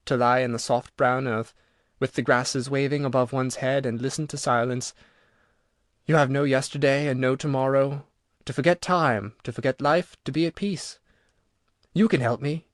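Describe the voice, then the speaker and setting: A man reading aloud and voicing a lonely, weary old ghost, speaking low and dreamily. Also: no echo, clean studio sound, natural voice. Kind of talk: audiobook reading.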